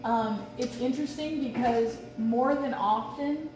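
Blunt, higher-voiced woman guest, distant mic. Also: measured pace, over music. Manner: blunt, swears freely. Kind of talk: candid conversational storytelling. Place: outdoors on vacation, lawn equipment nearby. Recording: distant, echoey, noisy outdoor livestream audio.

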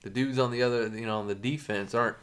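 A young man co-hosting a casual podcast, telling stories in a halting, chatty way. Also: mid-range voice, steady tone. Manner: chatty storyteller, halting with fillers. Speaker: podcast co-host. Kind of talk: casual sports chat.

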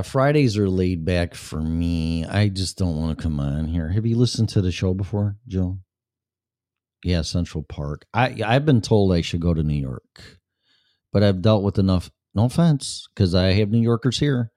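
A man's voice: sits low, lively intonation, measured pace, dark tone, no room sound, clean studio sound. A man with a Chicago accent hosting a casual chat show, rambling and blunt, with plenty of swearing. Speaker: show host. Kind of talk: casual livestream chat with viewers.

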